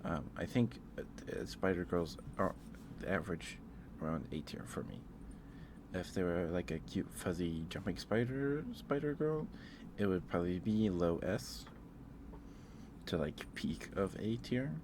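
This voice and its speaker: A man hosting an ASMR livestream, chatting casually in a halting, rambling way with pauses and repetitions. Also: sits low, background noise. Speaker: livestream host.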